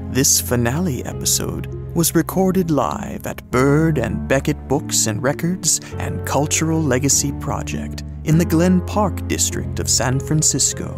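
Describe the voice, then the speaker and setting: A man's voice: fairly high pitch, lively intonation, measured pace, hardly any pauses. A man hosting a show, reading a scripted radio-style introduction as announcer. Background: music.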